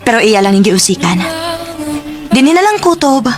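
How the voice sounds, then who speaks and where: A high-pitched young woman with a Cebuano accent in a radio drama. She is hardworking, devoted and wounded in love, speaking with earnest theatrical feeling. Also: very animated, moderate pace, music in the background. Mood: sorrowful narrating. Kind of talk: Cebuano radio drama acting and first-person narration.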